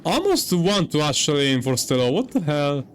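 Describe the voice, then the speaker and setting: A man's voice: a little high, sing-song, moderate pace, slight background noise. A man giving casual playthrough commentary, rambling and hesitant, swearing as he thinks aloud. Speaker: gaming streamer.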